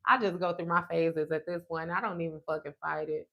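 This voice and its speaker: A woman's voice: sits low, fairly even intonation, fairly quick, creaky, lo-fi sound. A woman in her thirties chatting live with her viewers as a tarot host, blunt and profane. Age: 30s. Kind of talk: casual livestream chat with viewers.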